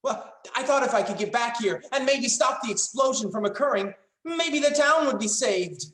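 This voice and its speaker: A woman playing a young, earnest boy time traveler with a halting manner in a dramatic screenplay reading. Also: on the high side, animated, fairly bright tone. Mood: urgent, earnest. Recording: roomy, echoing sound.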